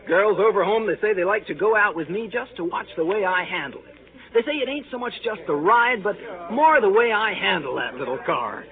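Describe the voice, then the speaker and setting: A man's voice: sits high, lively intonation, normal speed, phone-quality sound. An actor playing a boastful, gluttonous big young man with folksy, self-satisfied manners in a 1930s radio comedy. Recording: thin, band-limited 1930s radio recording, crowd.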